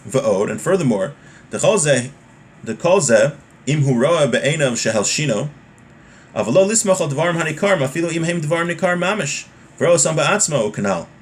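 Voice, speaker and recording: A man's voice: fairly high pitch, animated, normal speed. A man giving an informal religious law lesson, reading from a text and explaining it conversationally with repeated points and everyday examples.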